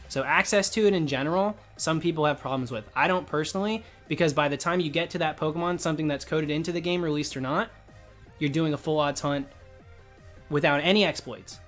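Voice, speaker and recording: Guy streamer, kinda high voice starting to go, casually explaining his takes, faint music behind. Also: a bit bright. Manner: opinionated, explanatory, informal. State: losing his voice. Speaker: live streamer, host. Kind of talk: casual livestream chat while gaming.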